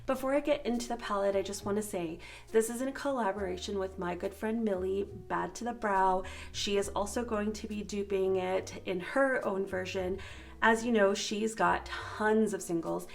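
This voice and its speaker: A woman's voice: medium pitch, moderate pace, gravelly. A woman chatting casually and informally to viewers as a beauty vlogger reviewing products, sounding a little sick and sniffly.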